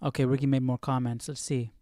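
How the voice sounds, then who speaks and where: A man's voice: mid-range voice, flat delivery, fast, dark tone, no echo. Questioning man with a dry, close sound. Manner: questioning, puzzling things through aloud. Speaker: host of a live Bible study stream. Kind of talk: casual study commentary, thinking aloud.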